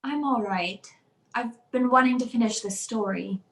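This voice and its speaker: A woman's voice: a little high, normal speed, a bit bright. A woman acting a frightened, pleading wife who fears her husband, in old-time radio drama style. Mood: uneasy. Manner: anxious, pleading. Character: frightened young wife convinced her husband will kill her.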